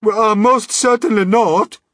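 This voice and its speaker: A man's voice: sits high, a little slow, a bit bright, no echo, clean studio sound, voice pushed up. A man voicing a gloomy, irritable blind earthworm who complains melodramatically in a storybook reading. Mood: alarmed. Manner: melodramatic, indignant, argumentative.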